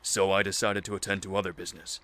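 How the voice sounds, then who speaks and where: A man acting a calm, articulate camp leader in an audio drama, speaking in a measured, dry way. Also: low voice. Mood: calm, attentive.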